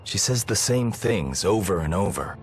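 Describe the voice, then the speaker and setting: A man's voice: low-pitched, animated, moderate pace. A man voicing an earnest, courteous grown man in an anime game, with a polite, sincere manner. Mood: informative. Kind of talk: anime game dub acting. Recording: music behind.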